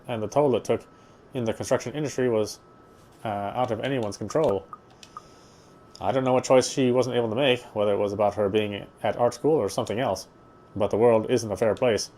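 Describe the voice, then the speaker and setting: A man's voice: mid-range voice, a little mellow. A man narrating a visual novel as he plays it, reading the prose aloud with casual asides.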